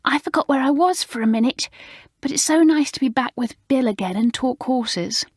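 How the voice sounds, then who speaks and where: A woman voicing a gentle, dreamy schoolgirl with an airy, breathy way of talking. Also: sits high, normal speed, no room sound, clean studio sound, pitched above the performer's own voice. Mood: apologetic.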